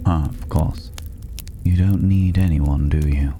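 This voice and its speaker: A man playing a mysterious, worldly stranger in a dragon's hoard in an ASMR fantasy roleplay, mocking and condescending, with a languid, teasing delivery and drawn-out pauses. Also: a bass voice, steady tone, warm tone. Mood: taunting. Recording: clearly noisy ambient background.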